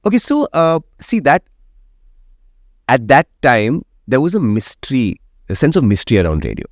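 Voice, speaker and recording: A man's voice: medium pitch, sing-song, moderate pace, no room sound, clean studio sound. A radio jockey, explaining his views at length in an interview and often checking in with "right?". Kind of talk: opinionated interview conversation.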